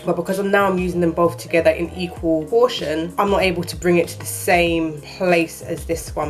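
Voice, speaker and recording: A woman chatting casually to her camera in a rambling, self-correcting way, her voice a little croaky from just waking up. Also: sits low, a little mellow. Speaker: beauty vlogger. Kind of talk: casual chat. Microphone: handheld camera, shaky framing.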